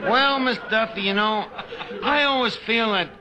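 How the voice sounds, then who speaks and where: A man's voice: sits high, normal speed, crowd noise, lightly acted, low-quality recording. An actor playing a brash, wisecracking tavern manager in a 1950s radio comedy, fumbling his big words. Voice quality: brash, malaprop-prone. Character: wisecracking male tavern manager, fast-talking, bossy. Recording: hissy old radio recording.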